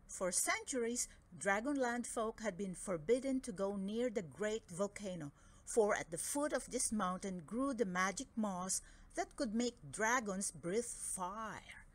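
A woman narrating a children's book aloud in an expressive storytelling style. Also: medium pitch, a little slow.

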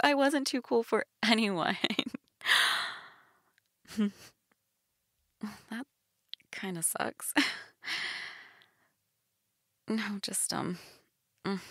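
A young goth woman, acted by a woman in an intimate roleplay audio, teasing yet shy. She speaks slowly in a breathy, half-whispered voice with plenty of vocal fry, recorded close and dry. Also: medium pitch, no room sound, exaggerated voice. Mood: amused, wistful. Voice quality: breathy, often dropping to a whisper. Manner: hesitant, teasing, soft-spoken.

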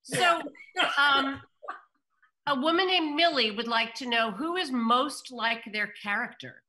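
A woman's voice: high-pitched, a little slow, bright, forceful tone. A middle-aged woman moderating on a video call, reading out script directions and audience questions. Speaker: moderator and stage-direction reader. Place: home room, video call.

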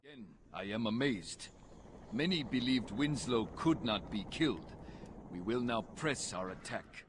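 An actor playing a stern, formal and insistent alien warrior leader in a video game. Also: male voice, mid-range voice, expressive, a little slow, background noise, close to an everyday voice. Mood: impressed, formal. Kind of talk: video game dialogue acting.

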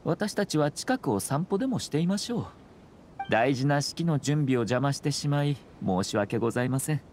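A man voicing a composed young nobleman in an anime game, speaking in a measured, formal, polite way over faint background ambience. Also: on the high side, expressive, a little mellow, slight background noise, close to an everyday voice. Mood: courteous. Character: composed, courteous young nobleman and clan commissioner.

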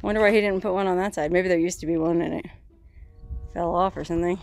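A woman's voice: on the low side, fairly even intonation, fast talker, vocal fry, darkish tone. A woman in her thirties talks casually to the camera she holds at arm's length while poking around a rock cave. She chats playfully, laughs often and makes up silly stories as she goes. Faint music plays underneath. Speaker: solo outdoor adventure vlogger. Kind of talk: casual vlog narration while exploring. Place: outdoors, inside a rock cave and cabin in a canyon. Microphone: handheld camera at arm's length.

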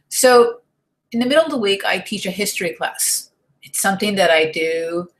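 A woman's voice: fairly low pitch, a bit bright, low-quality recording. A woman in her thirties, from the United States, giving an earnest, encouraging talk to her webcam at home, often restarting her sentences. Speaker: online marketing vlogger. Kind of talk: motivational talk to camera. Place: home room with desk and shelves, indoors. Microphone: webcam at desk.

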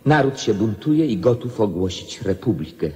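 A man's voice: lowish voice, very animated, normal speed, warm tone. A man playing a defeated young king in a radio play, penitent and pleading, with formal speech. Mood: bitter, resigned. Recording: long reverberant echo.

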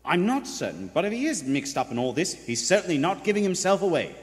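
A man's voice: high voice, sing-song, fast. A man playing a deferential, earnest detective reporting to his superior in a live radio play. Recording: echoey theater hall.

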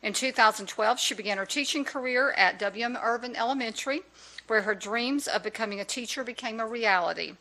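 A woman's voice: on the high side, brisk, bright, forceful tone, poor audio. A woman reading a prepared formal introduction at a public board meeting into a desk microphone in a large room. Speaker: school official introducing a teacher.

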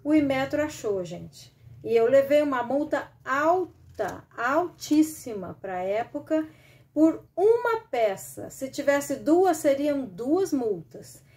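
A woman's voice: high voice, big pitch swings, slow pace, darkish tone. A middle-aged Brazilian woman hosting a casual sewing live stream from a small room at home, chatty and rambling with many restarts, talking into a close webcam. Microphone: webcam or laptop camera close up.

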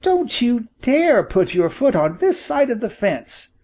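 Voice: medium pitch, very animated, slow, a little mellow, breathy edge, pitched above the performer's own voice, heavily acted. A reader voicing a young farm boy who fiercely scolds a hunter while protecting a wounded bird, in an audiobook. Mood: indignant. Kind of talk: audiobook character voice.

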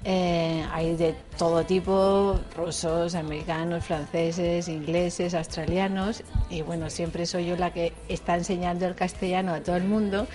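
A woman's voice: fairly low pitch, flat delivery, unhurried, few pauses, dark tone, soft background music. A woman answering casting interview questions in a chatty, self-deprecating way, speaking into a handheld mic in an office hallway. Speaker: casting applicant.

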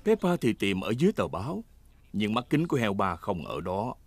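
A man's voice: lowish voice, huge pitch swings, fairly quick. A man narrating a children's cartoon from a script. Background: music.

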